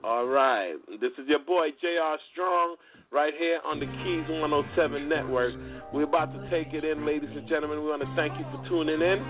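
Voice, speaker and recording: A man's voice: a little high, steady tone, a little fast. Casting: man as slangy radio interview host, conversational, narrow phone-quality audio with faint music. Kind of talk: casual talk radio interview.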